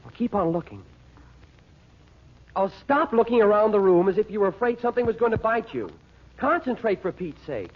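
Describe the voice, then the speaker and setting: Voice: mid-range voice, expressive, a little fast, long pauses, natural voice. An actor playing an impatient, bossy teenage boy in an old radio drama, with slangy, exasperated delivery. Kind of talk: 1930s-40s radio drama acting. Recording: muffled, husky old radio recording.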